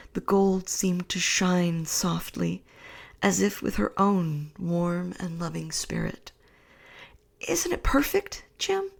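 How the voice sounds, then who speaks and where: Slow, gravelly whispering woman storyteller. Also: on the low side. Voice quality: breathy whisper. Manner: soft whisper, slow and soothing. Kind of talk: whispered bedtime story reading.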